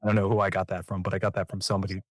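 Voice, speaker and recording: A man's voice: deep voice, level tone, racing, no echo, clean studio sound. A man hosting a fireside chat podcast, affirming his guest and building long framing questions. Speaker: podcast host.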